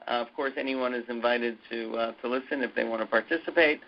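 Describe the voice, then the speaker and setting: A man giving an update on a conference call in a halting, hesitant way with many filler pauses, as a university president addressing his community. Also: medium pitch, fairly even intonation, vocal fry. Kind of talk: conference call update, semi-scripted announcement. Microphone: conference phone call. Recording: dull muffled conference call audio.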